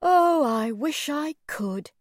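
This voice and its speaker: A woman acts out a very old storybook gentleman with lively, swooping intonation. He is courteous and gentle, pleading in a genteel, wheedling way. The delivery is unhurried. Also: high voice, no room sound, clean studio sound, pitched above the performer's own voice. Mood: gently persuasive.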